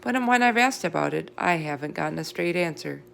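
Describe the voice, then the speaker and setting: Woman doing lady teacher in a kids story, firm but caring, low with some fry. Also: expressive, brisk, a bit bright, a little hiss or hum, full character voice. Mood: concerned. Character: firm, caring female schoolteacher. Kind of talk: children's storybook character voice.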